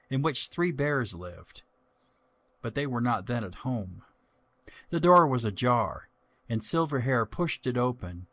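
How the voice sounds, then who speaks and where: A man narrating a children's story from a script in a measured, expressive way. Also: medium pitch, no room sound.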